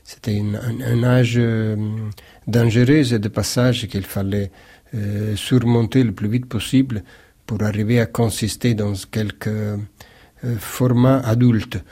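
Slow, gravelly Italian-accented man. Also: on the low side, warm tone. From Naples, Italy. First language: Neapolitan. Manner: halting, searching for words, many repeated starts. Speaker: writer interviewed as guest. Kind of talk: reflective interview answer.

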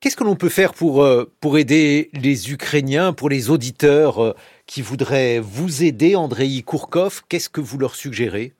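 A man interviewing an author for radio, asking long, well-formed questions and reading quotations aloud smoothly. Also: medium pitch, animated, unhurried, darkish tone, no room sound, clean studio sound. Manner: articulate, reads quotes aloud fluently.

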